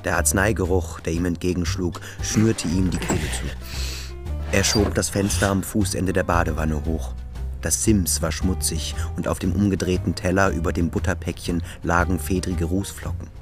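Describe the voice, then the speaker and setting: A man's voice: deep voice, fairly even intonation, moderate pace, over music. A man reading literary scripted narration as the narrator of a radio drama.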